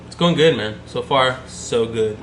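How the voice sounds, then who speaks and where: A man's voice: mid-range voice, measured pace, a bit bright. A man, joking easily as a podcast co-host. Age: 20s. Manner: joking, easygoing. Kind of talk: casual podcast chat. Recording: roomy sound with clear background noise.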